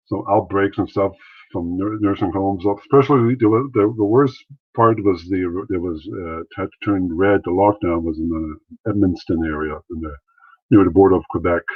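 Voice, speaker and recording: Man, very low voice, tinny call audio, rambling casual talk. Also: no room sound. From Maritimes, Canada. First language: French. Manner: rambling, many restarts and fillers. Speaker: interview guest, former wrestler and actor. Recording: thin phone-like line, dry.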